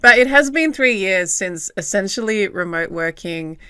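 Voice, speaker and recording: A woman's voice: medium pitch, animated, normal speed, sharp tone, clear, resonant tone, no room sound, studio quality. A woman hosting a podcast interview, friendly and chatty as she introduces her guest and asks questions. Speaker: podcast host.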